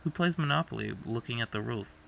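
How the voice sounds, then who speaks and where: A man's voice: medium pitch, expressive, quick pace, creaky, a bit bright, slight background noise, poor audio. A young adult man co-hosting a podcast in casual, argumentative banter, swearing freely as he talks. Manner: profane, argumentative. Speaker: podcast co-host.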